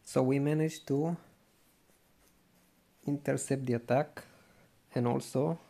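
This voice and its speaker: A man's voice: medium pitch, fairly even intonation, takes it slowly, creaky, dark tone. A man giving casual gameplay commentary as a video host, with brief offhand reactions.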